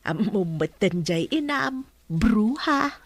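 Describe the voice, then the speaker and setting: A woman's voice: medium pitch, lively intonation, takes it slowly. A woman playing a cheeky, gossipy household helper in a radio drama, chatty and exclaiming. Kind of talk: comic Ilocano radio drama acting.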